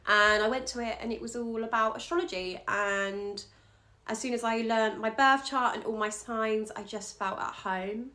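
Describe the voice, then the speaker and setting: A woman astrologer, a podcast guest, talking candidly and casually about her work in a relaxed, soft-spoken way. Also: fairly high pitch, moderate pace. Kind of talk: casual interview talk.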